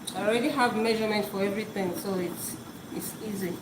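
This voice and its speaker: A woman's voice: medium pitch, fast talker. A woman whose first language is Yoruba, hosting a live cooking stream and talking casually while she cooks in her home kitchen. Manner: chatty, repeats words, talks while cooking. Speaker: cooking show host. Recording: echoey kitchen with loud noise.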